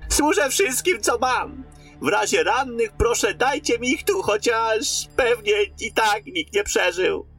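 A man's voice: very high voice, lively intonation, a little slow, fairly bright tone, husky, faint music behind, exaggerated voice. A man playing a frightened, plaintive villager, a shaken survivor of a demon attack, in a fantasy radio drama. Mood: meek, resigned. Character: frightened cowering male villager who survived a demon night.

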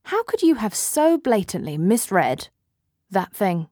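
Woman playing an indignant, animated know-it-all professor. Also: medium pitch, a little slow, slightly rough, no echo, clean studio sound. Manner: haughty, accusatory. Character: indignant, self-important female professor objecting to a misreading. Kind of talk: comic audiobook character.

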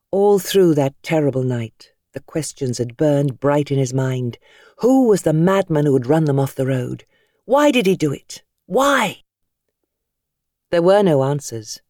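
A British woman reading fiction as an audiobook narrator, with measured, expressive, dramatic storytelling. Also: deep voice, no room sound, clean studio sound.

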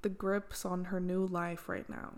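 Creaky-voiced woman in casual podcast banter. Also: fairly low pitch, level tone, measured pace. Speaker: podcast co-host.

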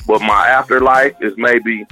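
A man from Oklahoma with a deep voice, talking casually as a guest in a radio interview, loose and repetitive. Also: steady tone, measured pace, pushed and bright, soft background music. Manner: loose and casual, repeats himself, slangy. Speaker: guest musician.

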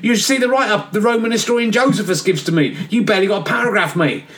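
A man's voice: high voice, fast talker, fairly bright tone, pitched above the performer's own voice. A man doing a cocky, forgetful jailbird. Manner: chummy, dismissive, keeps saying mate. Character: cocky, forgetful male jailed baptizer. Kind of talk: radio comedy sketch.

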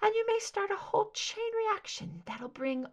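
A woman voicing a composed, mysterious female captor in a clear, soft, delicate soprano, cryptic and deliberate in audio drama style. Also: extremely high pitch, sing-song, voice pushed up. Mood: ominous, intent.

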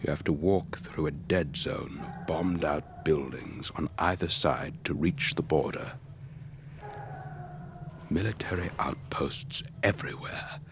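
Very deep, slow elderly English officer. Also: male voice. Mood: wistful, reminiscing. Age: older. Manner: measured, old-fashioned, reflective, breathy trailing phrases. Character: elderly English retired air force officer, wistful raconteur in hospital. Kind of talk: radio drama storytelling monologue. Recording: faint background noise.

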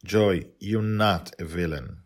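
An earnest, parental figure, voiced by a male storyteller with a low voice. He is a stern but loving dad in a fantasy storybook, talking to his little girl. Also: slow pace. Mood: tender, apologetic. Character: dream-world father, stern but loving, scolding his daughter.

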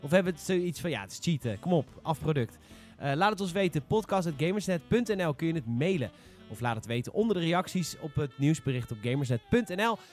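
A man's voice: a little high, animated, fast, hardly any pauses, soft background music. A man hosting a casual podcast chat about games. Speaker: podcast host.

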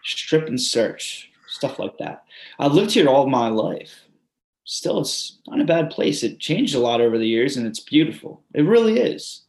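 A man's voice: medium pitch, very animated, measured pace, darkish tone, echoey room. A man reading as a lifelong neighborhood resident, talking candidly in a conversational way with "you know" asides, heard over a video call. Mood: earnest. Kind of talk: readers theatre monologue.

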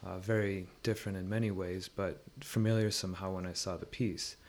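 A man with a fairly low voice talks through ideas in a conversational way. He is reflective and often halting, pausing to search for the right words. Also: fairly even intonation. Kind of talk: thoughtful conversational discussion.

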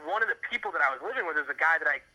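A man from Miami with an American accent and a fairly high, strikingly bright voice. He talks over a tinny phone line. His delivery is casual and rambling, full of slang and swearing as he tells stories. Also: expressive, racing. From Miami, USA. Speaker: interview guest on a phone call. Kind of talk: casual chat, telling old stories.

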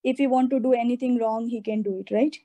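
A woman's voice: a little high, fairly even intonation, fast talker, over a noisy crowd. A woman calling into an advice show, asking anxious questions with many likes and uhs. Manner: hesitant, filler-heavy, anxious questions. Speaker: caller.

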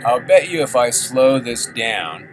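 A man's voice: mid-range voice, lively intonation, unhurried, background noise. A man hosting a radio show, musing aloud in a rambling way while he tunes a shortwave receiver. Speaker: radio host.

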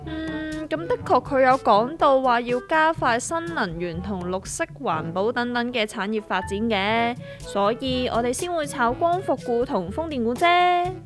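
A woman's voice: sits high, a little slow, hardly any pauses. A woman playing a plain-spoken, knowing explainer in a comedic radio drama, chatty and with a Hong Kong Cantonese accent. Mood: matter-of-fact. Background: music.

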